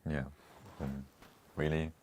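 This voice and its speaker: Very deep-voiced man chatting casually. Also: level tone, takes it slowly, a little mellow, a little hiss or hum. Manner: rambling, chuckles often, restarts. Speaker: co-host. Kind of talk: casual livestream chat reading comments.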